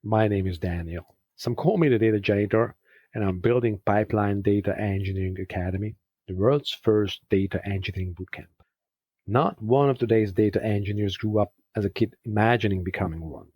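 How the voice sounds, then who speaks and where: A man hosting a show, giving a scripted introduction in a clear, deliberate way. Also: lowish voice, no echo, lo-fi sound. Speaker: host.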